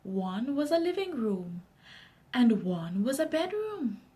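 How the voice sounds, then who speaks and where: A woman with a Jamaican accent reading a children's story aloud in an expressive, unhurried way. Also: fairly high pitch.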